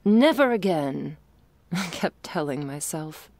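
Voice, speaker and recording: A woman playing a confident, teasing but vulnerable girlfriend in an intimate ASMR romance roleplay, confiding tenderly and haltingly, often dropping into breathy whispers. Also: low voice, expressive, a little mellow, no room sound, exaggerated voice. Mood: earnest, vulnerable.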